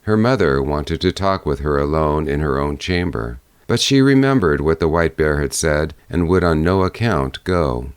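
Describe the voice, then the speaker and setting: A man narrating a fairy-tale audiobook in a measured storytelling manner. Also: a bass voice, animated, clean tone, no echo, studio quality.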